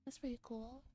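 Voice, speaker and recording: A woman hosts a relaxed visual novel livestream in a slightly high, gently mellow voice. She chats in a casual, giggly ASMR style, teasing and bantering. Light music plays softly behind her. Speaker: streamer host reading a visual novel aloud. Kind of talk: casual livestream chat mixed with game read-aloud, ASMR.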